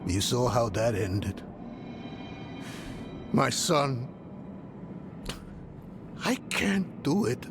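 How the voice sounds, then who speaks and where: An actor playing a weary, self-doubting older scholar-mage in a fantasy game, gravelly and halting, with a brooding manner. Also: male voice, mid-range voice, big pitch swings. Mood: anguished, doubtful. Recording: loud music under the voice.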